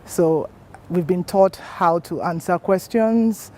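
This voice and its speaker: A woman's voice: lowish voice, takes it slowly, mellow, a little hiss or hum. A woman, a trainee scientist speaking in a composed, articulate way in an interview. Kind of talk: interview testimonial.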